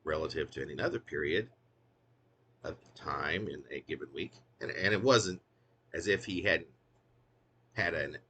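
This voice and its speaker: A man's voice: fairly low pitch, bright, forceful tone. Guy reading his own wry poem, hammy and emphatic, swoops up and down a lot, bit of background noise. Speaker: poet reading own work.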